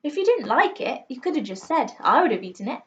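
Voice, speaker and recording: A woman voicing a kindly father in a children's story, questioning gently and with emphasis. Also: high-pitched, very animated, fast, muffled recording, voice pushed up. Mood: gentle, puzzled.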